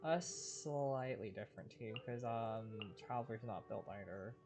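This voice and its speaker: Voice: mid-range voice, a little slow. A game streamer giving casual live commentary, musing aloud in short fragments. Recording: loud game music behind the voice.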